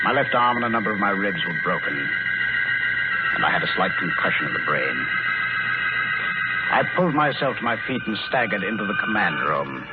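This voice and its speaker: An actor playing a grave, grieving colonel, a lone survivor, in deliberate, dramatic 1940s radio drama style. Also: male voice, sits low. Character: stoic grieving male colonel, last survivor of Earth's destruction. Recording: dull 1940s radio recording, music behind.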